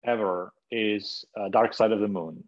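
A man's voice: lowish voice, a little slow, muffled recording. A man from Spain, a podcast guest, talking casually about music with many restarts and hesitations. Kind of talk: casual interview conversation.

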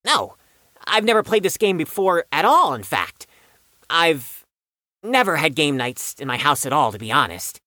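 A man's voice: on the high side, big pitch swings, moderate pace, bright, forceful tone, no room sound, clean studio sound. A man playing a bitter, wounded young man with formal, precise wording and a defensive manner in an audio drama. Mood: wry, reserved.

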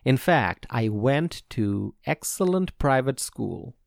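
Slow, gravelly-voiced man hosting a podcast. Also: medium pitch, lively intonation, no echo, studio quality. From Bangladesh. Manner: earnest, measured, repeats points for emphasis. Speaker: podcast host. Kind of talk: solo commentary on public policy.

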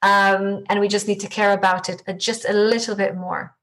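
A woman's voice: mid-range voice, flat delivery, a bit bright. A woman from Israel with an Israeli accent, Hebrew her first language, speaking as a podcast guest and coach in a reflective way with frequent restarts. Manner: reflective, halting with many restarts. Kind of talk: podcast interview conversation.

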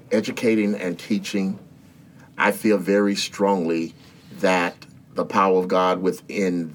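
A man's voice: deep voice, slight background noise. A man in his sixties speaking as a guest in an interview about faith, earnest and preacherly. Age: 60s. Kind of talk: spiritual interview talk.

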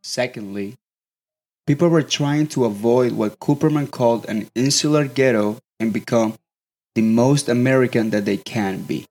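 A young man, a student, reading a scripted documentary narration in steady, measured phrases. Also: mid-range voice. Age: young adult.

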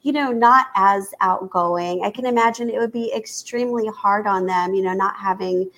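A woman's voice: mid-range voice, normal speed, fairly bright tone. A woman from Kansas hosting a podcast, asking questions and responding in an enthusiastic, affirming way. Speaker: podcast host. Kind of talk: casual interview conversation.

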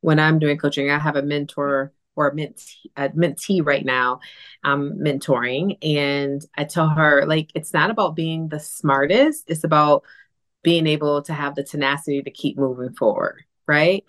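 Low-voiced woman hosting an interview. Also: steady tone, normal speed, a bit bright. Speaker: podcast host, business coach.

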